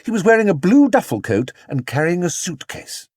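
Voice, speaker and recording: A man's voice: sits high, big pitch swings, normal speed, a little mellow, breathy edge, dry recording, studio quality, voice pushed up, full character voice. A man voicing an earnest, fretful mother in a children's storybook, speaking emphatically. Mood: earnest, worried.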